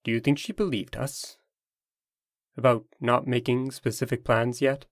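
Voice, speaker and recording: A man's voice: mid-range voice, steady tone, measured pace, no echo, studio quality, lightly acted. A man voicing an indignant, scheming talking farm animal in a theatrical, emphatic manner for a comic dub.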